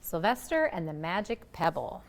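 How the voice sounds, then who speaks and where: A woman who works as a librarian reads a picture book aloud expressively, with dramatic pauses and emphasis on key words. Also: fairly low pitch, sing-song, a little hiss or hum. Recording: echoey, long room reverb.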